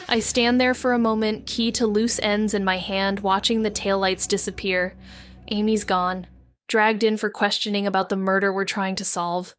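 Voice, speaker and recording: Wry, tense woman narrating noir. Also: medium pitch. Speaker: narrator and lead. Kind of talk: first-person noir audio drama narration.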